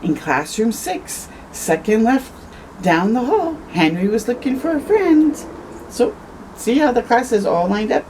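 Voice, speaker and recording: A woman reading a picture book aloud to children as a storytime host, expressive, with conversational asides. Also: on the high side, measured pace, a little hiss or hum, rough recording. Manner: conversational asides, tags questions with "eh".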